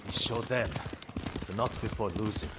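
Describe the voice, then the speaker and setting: A man acts as a mouthy, battle-worn pirate raider in a game. His voice sits fairly low, and he talks in a dry, guarded, plain way. Game effects are clearly heard behind him. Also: steady tone, normal speed, very noisy, natural voice. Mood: wary, gruff. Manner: sardonic, plain-spoken, terse. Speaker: video game character actor. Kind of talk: naturalistic game dialogue acting.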